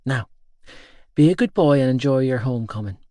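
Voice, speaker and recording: A man voicing a frail, tender but firm old great-grandmother in an audiobook, speaking softly and affectionately. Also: mid-range voice, animated, dry recording, clean studio sound, natural voice. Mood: confiding, gently firm. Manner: hushed, slow, affectionate. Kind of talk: audiobook character dialogue.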